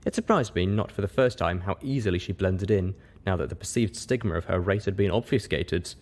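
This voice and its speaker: A man reading first-person story narration as an audiobook, in an expressive, dramatic way. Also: low-pitched, a little fast. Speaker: audiobook reader.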